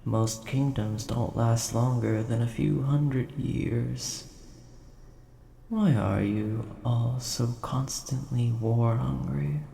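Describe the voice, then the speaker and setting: Man voicing a slow, gravelly, scholarly old dragon. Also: medium pitch, dark tone. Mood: calm, apologetic. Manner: formal, rambling, thoughtful storyteller. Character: large ancient nerdy dragon, gentle, scholarly, coin collector. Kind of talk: scripted audio roleplay addressed to the listener. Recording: roomy echo, faint background noise.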